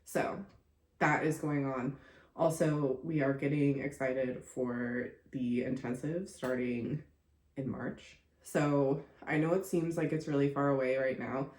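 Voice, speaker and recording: A woman's voice: very deep voice, fairly even intonation, unhurried, a little mellow, roomy sound. A young woman hosting her own podcast, chatting casually with lots of fillers and sounding congested from a recent illness. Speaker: podcast host and contortion coach. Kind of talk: casual solo podcast monologue.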